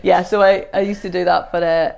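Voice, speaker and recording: A woman, a voice coach chatting as a podcast guest, self-deprecating and quick to laugh. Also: on the low side, flat delivery, gravelly, a little mellow. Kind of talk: casual podcast conversation.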